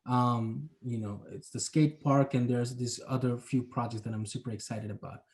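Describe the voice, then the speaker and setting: Man, young adult, mid-pitched, reflective, hedging, full of fillers. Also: steady tone, moderate pace, darkish tone. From Bangladesh. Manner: reflective, hedging, many "you know" and "kind of" fillers. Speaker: urban planner, guest. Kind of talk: casual interview talk.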